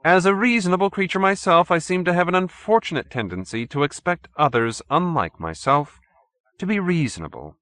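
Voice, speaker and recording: A man's voice: sits high, lively intonation, brisk, a bit bright, dry recording, pitched above the performer's own voice. A man voicing a haughty, urbane cult leader in an audiobook, pompous and sternly courteous. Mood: urbane, condescending. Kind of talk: audiobook character acting.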